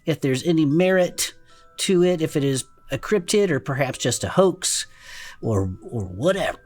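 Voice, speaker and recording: A low-voiced, easygoing sidekick in a comedy audio drama about a cryptid club. The delivery swings widely in pitch, casual and wry, supportive with a skeptical streak. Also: female voice, lively intonation, normal speed, darkish tone, faint music behind. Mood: casual hosting. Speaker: podcast co-host. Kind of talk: comedic character banter, partly improvised.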